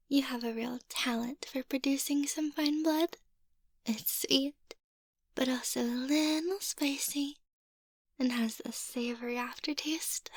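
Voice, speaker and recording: A woman playing a playful, sweet young vampire mistress in an ASMR roleplay, soft-spoken and teasing, often slipping into a whisper. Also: sits high, dry recording. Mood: satisfied, sweet.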